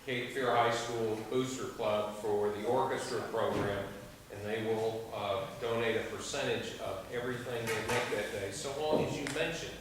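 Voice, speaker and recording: A man's voice: fairly low pitch, moderate pace. A man from the booster club making a plain announcement to a concert audience. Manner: plain, clarifying details. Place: large school auditorium. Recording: echoey hall from far off, noise.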